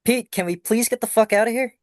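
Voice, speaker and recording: A man's voice: very high-pitched, fast talker, no room sound, studio quality. Man reading a curt, irritated brooding man. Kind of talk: table read.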